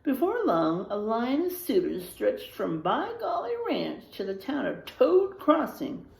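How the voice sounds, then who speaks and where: A woman reading a children's story aloud in a dramatic, animated narrating style. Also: on the high side, a little slow, creaky. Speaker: storybook reader. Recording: roomy, echoing sound.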